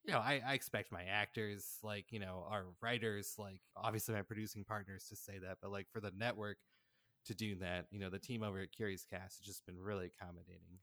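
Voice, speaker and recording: Man, fairly low voice, earnest, informal, interview guest, very dry recording. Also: fairly even intonation, fairly quick, pushed and bright, no room sound. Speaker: podcast guest, audio drama creator. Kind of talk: casual interview conversation.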